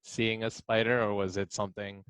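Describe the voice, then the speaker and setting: A man's voice: fairly low pitch, little pitch movement, normal speed, fairly bright tone. A man on a casual panel, reading out written answers and chatting about them.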